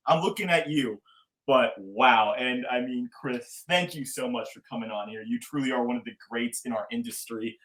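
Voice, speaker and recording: A man's voice: medium pitch, normal speed, a bit bright. Brief: young male host, eager and hyped, echoey room. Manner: enthusiastic, hype-style introductions. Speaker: podcast host. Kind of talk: casual interview talk.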